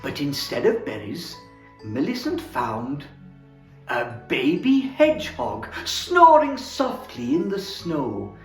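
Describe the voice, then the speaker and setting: A man playing Santa as storyteller, reading a children's book aloud in a theatrical, expressive way. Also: a little high, slow pace. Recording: roomy echo, faint music.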